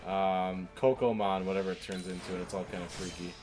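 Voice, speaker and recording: A man's voice: deep voice, little pitch movement, fairly quick, darkish tone, slight background noise. A man co-hosting a gaming show, chatting casually over gameplay in a teasing, opinionated, rambling way.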